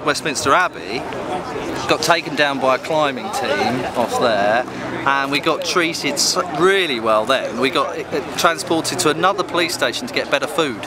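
A man's voice: mid-range voice, expressive, moderate pace. A British man in his thirties casually telling an anecdote in an interview, recorded outdoors on a handheld camera. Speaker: activist interviewee. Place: open park outdoors with crowds. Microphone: handheld camera at close range. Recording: outdoor crowd noise.

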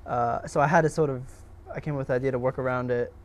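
A man's voice: mid-range voice, steady tone, fast talker. Casual, self-deprecating young man. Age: young adult. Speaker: student filmmaker being interviewed. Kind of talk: casual interview answers.

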